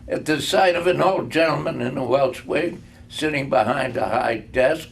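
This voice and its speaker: An older man reading a storybook aloud, phrasing it deliberately with pauses. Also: mid-range voice, gravelly. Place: live reading in a room. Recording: faint background noise.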